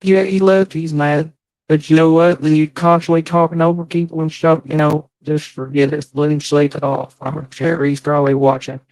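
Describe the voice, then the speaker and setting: A man's voice: fairly high pitch, level tone, normal speed, darkish tone, no echo, rough recording. A man in a casual livestream chat, hosting and speaking in a profane, aggrieved, defensive way. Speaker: livestream host.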